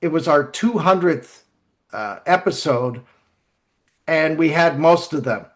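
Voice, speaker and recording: A man's voice: on the high side, expressive, measured pace, fairly bright tone. A man hosting a podcast casually, introducing guests with enthusiasm and appreciation. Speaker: podcast host.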